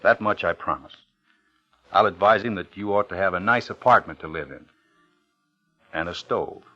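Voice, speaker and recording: Guarded noir man, very deep, sharp-edged voice, terse, coaxing, vintage radio drama, dull recording. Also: moderate pace. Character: guarded, smooth-talking noir man with a secret burden. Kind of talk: 1950s radio drama acting with noir narration. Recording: dull old radio recording, music underneath.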